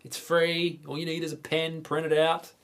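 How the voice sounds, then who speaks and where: A man's voice: on the high side, monotone, moderate pace, fairly bright tone. A man with an Australian accent presenting a casual guitar lesson in an informal, chatty, enthusiastic manner. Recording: guitar playing prominent alongside the voice. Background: acoustic or electric guitar strumming.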